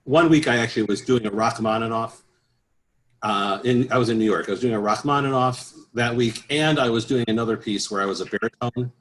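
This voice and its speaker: A man giving relaxed, easygoing interview answers as a guest choral bass singer, with frequent restarts and filler sounds. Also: fairly quick, slight background noise, rough recording. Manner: easygoing, many restarts and "uh"s, chuckles at his own stories.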